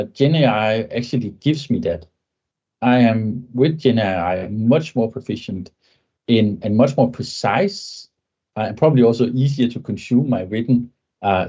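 A man's voice: mid-range voice, mellow, slightly rough, muffled recording. A man, a podcast guest speaking thoughtfully and often ending points with a tag question. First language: Danish. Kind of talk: conversational tech interview.

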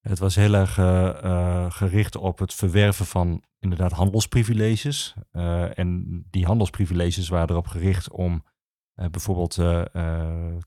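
Guy explaining history on a podcast, soft dark tone, dry close sound, lots of halting restarts. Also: deep voice, flat delivery, no echo. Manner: hesitant, halting, with many pauses and repetitions. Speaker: archivist, podcast guest. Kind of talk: informal expert explanation of history.

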